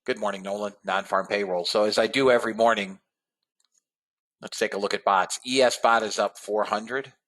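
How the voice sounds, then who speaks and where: Man, trading room host, casual webinar talk, slightly hoarse, low pitch, bright forceful tone. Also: steady tone, normal speed. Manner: casual, addresses viewers as guys and fellas.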